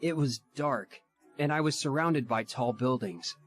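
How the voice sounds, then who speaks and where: A man playing an earnest, subdued young fantasy hero in a video game, measured and serious. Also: medium pitch, natural voice. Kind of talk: animated game acting. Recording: music behind the voice.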